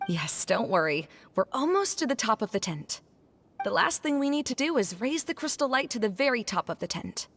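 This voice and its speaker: A woman voicing a composed, reassuring young woman in a video game, guiding her companions in a gentle, explanatory way. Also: a little high, big pitch swings, brisk, slight background noise. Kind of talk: video game character voice acting.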